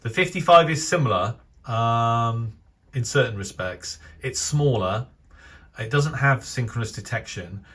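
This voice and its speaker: A British man giving a casual, unscripted review commentary in a measured, opinionated way, feeling for his phrasing as he goes. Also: lowish voice, fairly bright tone. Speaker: radio enthusiast reviewer. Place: voice-over over still images.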